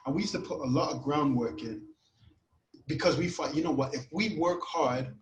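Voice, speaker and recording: Toronto guest, mixed Caribbean-tinged accent, slightly high voice, echoey room on a call with faint noise, chatty storyteller. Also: male voice, normal speed. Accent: Toronto, Caribbean-influenced. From Canada. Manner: animated storytelling, lots of "you know what". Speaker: podcast guest, grime artist. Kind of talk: casual chat over video call. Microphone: video call.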